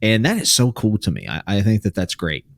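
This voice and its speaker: A man's voice: on the low side, very animated, fairly quick, gravelly. A man from West Virginia with a light American accent, co-hosting a podcast in casual, joking chat. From West Virginia, USA. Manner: jokey, drops into playful impressions. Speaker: podcast co-host.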